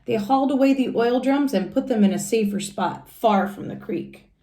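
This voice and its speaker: A woman's voice: a little high, moderate pace, warm tone, roomy sound. A woman reading a children's storybook aloud like a teacher, adding simple explanations and questions for young listeners.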